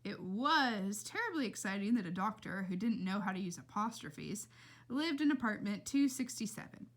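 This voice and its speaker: A woman's voice: medium pitch, animated, normal speed. A woman reading a storybook aloud expressively, with dramatic emphasis and casual asides. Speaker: read-aloud reader.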